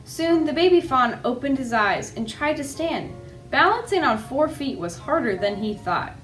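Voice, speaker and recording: A woman reading children's storybooks aloud as a library storyteller, with an expressive delivery. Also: high-pitched, normal speed, faint music behind.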